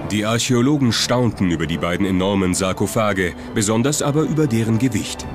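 A man's voice: fairly low pitch, expressive, brisk. A man reading scripted documentary narration. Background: music under the voice.

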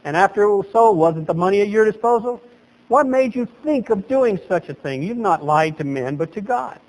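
A middle-aged man preaching a sermon as pastor at a lectern in a small chapel, animated and gesturing, his voice leaping up for emphasis. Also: high-pitched, moderate pace, low-quality recording.

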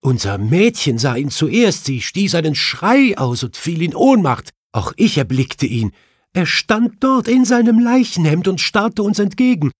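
A shaken, sobbing middle-aged widow, as a male reader puts her on. The voice is raised high and brittle, swooping with grief, and she tells her tale haltingly in an audiobook mystery. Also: sing-song, no echo, studio quality, heavily acted. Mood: shaken, distressed. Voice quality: brittle, tearful voice.

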